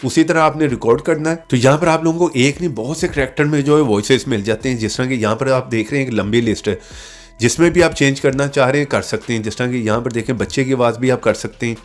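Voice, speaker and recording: A man presents a phone app tutorial at an ordinary middle pitch. He works through the instructions step by step as the host of a tips channel, dropping in small filler tags as he goes. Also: quick pace, soft background music.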